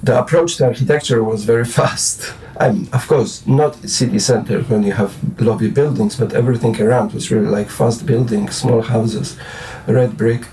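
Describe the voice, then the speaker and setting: A man's voice: medium pitch, normal speed, few pauses, warm tone, recorded from a distance, slight background noise, rough recording. A Polish man in his forties with a Polish accent, rambling and restarting as a guest in a casual late-night interview. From Poland. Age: 40s. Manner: rambling, hesitant, many restarts and fillers. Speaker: guest musician.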